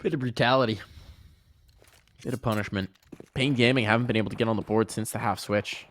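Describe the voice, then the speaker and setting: A man casting an esports match, jokey and excitable, his voice jumping high on big plays. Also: brisk. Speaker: esports caster. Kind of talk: play-by-play commentary.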